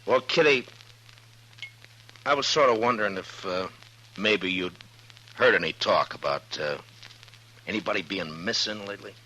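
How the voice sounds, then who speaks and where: An actor playing a steady, plain-spoken western marshal with a laconic manner, in 1950s radio drama style. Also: male voice, fairly low pitch, normal speed, pauses often. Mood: musing. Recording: noisy vintage 1950s radio recording.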